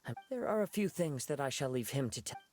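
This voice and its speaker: A man voicing a gentle, composed young wandering samurai in an anime game, soft-spoken. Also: medium pitch, lively intonation, brisk, a little hiss or hum, lightly acted. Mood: calm.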